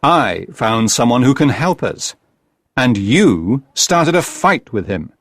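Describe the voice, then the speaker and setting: A man voicing a brisk, sardonic detective with a curt, superior manner. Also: medium pitch, big pitch swings, fairly bright tone, no room sound, clean studio sound, heavily acted. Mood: scolding.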